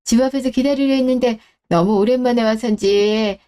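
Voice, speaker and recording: A woman's voice: fairly high pitch, brisk, dark tone, clear, resonant tone, close to an everyday voice. A woman playing an elderly, doting mother and grandmother in a radio drama, apologetic, sighing and rambling as she talks. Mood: fond, doting. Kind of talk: Korean radio-drama story acting.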